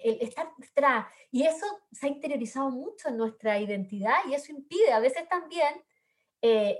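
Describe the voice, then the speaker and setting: A woman from southern Chile with a Chilean accent, speaking earnestly as a panelist, with frequent fillers and tag questions. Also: on the high side. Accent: Chilean Spanish. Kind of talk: panel discussion remarks.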